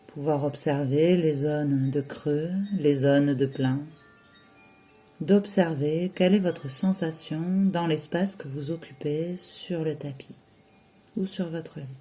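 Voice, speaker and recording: A yoga teacher leads a guided relaxation in a soft-edged, mellow voice. The delivery is slow and soothing, with short phrases set apart by long pauses. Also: mid-range voice, steady tone, soft background music.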